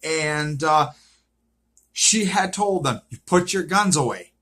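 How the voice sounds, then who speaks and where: A man's voice: fairly high pitch, animated, takes it slowly, sharp tone. A man giving an unscripted, casual recap of a TV series, repeating himself and often checking in with a questioning okay. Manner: repetitive, checks in with frequent "okay?".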